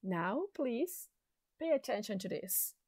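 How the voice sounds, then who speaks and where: A woman teaching an English lesson to secondary students, speaking slowly and deliberately with an encouraging classroom manner. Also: medium pitch, expressive, darkish tone, no room sound, studio quality. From Chile. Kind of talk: slow recorded classroom lesson.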